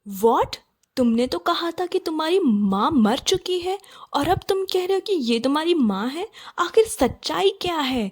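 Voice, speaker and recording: A woman's voice: high-pitched, animated, a little slow, pitched above the performer's own voice. A woman playing a principled, indignant young city wife in a cartoon moral story, earnest and confronting, mixing in English. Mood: upset, demanding. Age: young adult.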